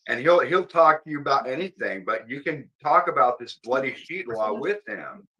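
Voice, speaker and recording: A man's voice: mid-range voice, expressive, moderate pace, pushed and bright, breathy edge, rough recording. A man in a casual panel discussion, speaking informally and emphatically, often repeating himself. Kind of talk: casual debate chat.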